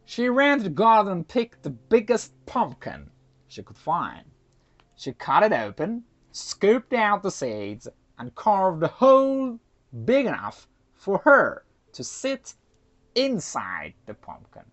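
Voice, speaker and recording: Slow, lively storyteller, slightly muffled. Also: medium pitch. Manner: halting, self-conscious English in chat. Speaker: storyteller and co-host. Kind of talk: casual chat and folktale narration.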